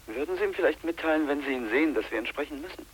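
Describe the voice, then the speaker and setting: An actor playing an apologetic, probing man in a radio drama, formal and hesitant, with a husky, muted voice. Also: fairly high pitch, expressive, rapid-fire, poor audio. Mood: polite, cautious. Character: apologetic, probing man making an awkward request. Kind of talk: 1980s German radio drama acting.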